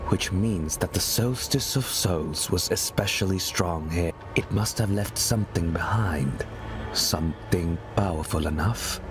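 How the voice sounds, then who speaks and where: An actor playing a grave, knowing hooded mentor in a fantasy game, speaking formally and deliberately. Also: male voice, sits low, over music. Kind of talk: dramatic fantasy game dialogue. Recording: noisy ambient game soundscape.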